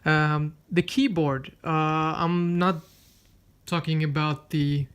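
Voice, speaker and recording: A man whose first language is Swedish, reviewing a tablet in a casual, unhurried way with frequent fillers and restarts while demonstrating it. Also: on the high side, fairly even intonation, smooth. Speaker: tech reviewer. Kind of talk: casual product review with live demo.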